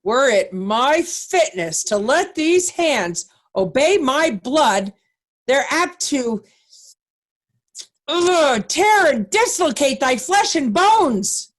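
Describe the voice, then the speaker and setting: A woman playing a morally outraged nobleman in a Shakespearean play reading, with grave, measured, condemning delivery. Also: high voice, very animated, heavily acted. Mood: outraged, disgusted. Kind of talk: Shakespearean reader's theatre.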